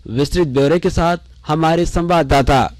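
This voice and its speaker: A man reading a scripted radio news bulletin in a measured, formal way. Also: on the high side, no echo. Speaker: news reader. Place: radio studio.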